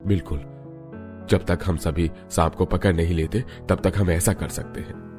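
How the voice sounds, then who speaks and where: A man playing a calm, practical village headman in a cartoon story, explaining in a measured way. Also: low voice, soft background music, close to an everyday voice. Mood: reassuring. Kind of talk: Hindi cartoon story acting.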